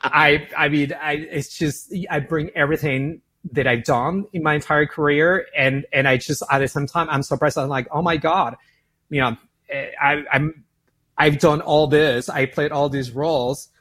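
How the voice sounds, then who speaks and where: Argentine-accented founder, animated and candid. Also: male voice, a little high, normal speed. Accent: Argentine Spanish. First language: Spanish. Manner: animated, repeats words while thinking aloud. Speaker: founder and CEO. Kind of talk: interview answers.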